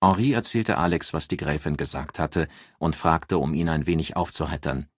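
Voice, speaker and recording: A man's voice: rumbling low, normal speed, no room sound, studio quality. A man reading a crime novel aloud as a scripted audiobook narrator.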